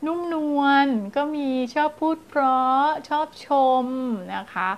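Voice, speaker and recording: Animated woman teacher giving parenting tips. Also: high voice, slow. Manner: animated, acts out scary and playful story voices to demonstrate. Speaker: child development teacher. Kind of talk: parenting advice video. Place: small indoor studio in front of blue backdrop.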